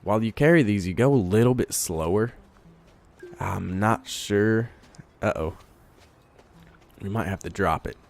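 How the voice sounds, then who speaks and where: A man with a congested voice from a cold, giving relaxed let's play commentary, thinking aloud while he plays. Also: lowish voice, unhurried, gravelly, pauses often, faint music behind. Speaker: gamer.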